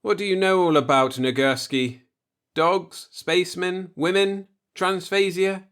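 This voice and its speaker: Man as young space captain, stern, blunt, sardonic, raised pitch, sci-fi audiobook. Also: steady tone, unhurried, a bit bright, clean tone. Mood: testy.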